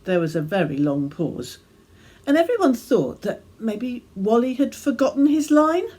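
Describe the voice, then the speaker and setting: A woman reading a devotional reflection as gentle, measured storytelling with deliberate pauses. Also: on the high side, very animated, slightly rough. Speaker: reader of her own devotional reflection. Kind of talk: scripted devotional reflection, storytelling read aloud.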